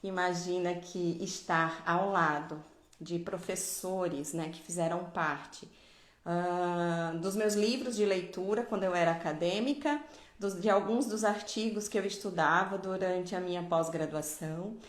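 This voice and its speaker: A woman's voice: fairly low pitch, a little slow, roomy sound. A middle-aged woman from Pernambuco, Brazil, giving a live online dentistry lecture in a didactic way, often adding tag questions. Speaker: dentistry professor.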